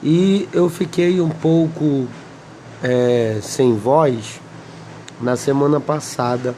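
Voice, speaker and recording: A man with a Brazilian Portuguese accent, slightly hoarse, teaching a Bible lesson and reading scripture slowly with many pauses. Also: mid-range voice, mellow, slight background noise. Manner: slow, deliberate, pausing often, asks "amém?".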